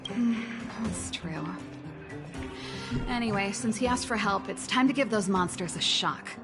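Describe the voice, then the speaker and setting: Actress doing cool young rocker girl in a game, bit of fry, casual and stubborn, music behind. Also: mid-range voice, unhurried, natural voice. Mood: casual, determined. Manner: casual, wry. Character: laid-back, headstrong young woman rocker, protective sister. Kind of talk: video game voice acting.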